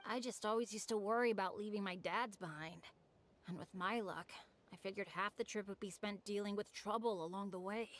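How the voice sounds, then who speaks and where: A woman's voice: mid-range voice, fairly quick, lightly acted. A woman voicing an earnest, good-natured teenage boy adventurer in a video game, youthful and boyish. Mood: eager.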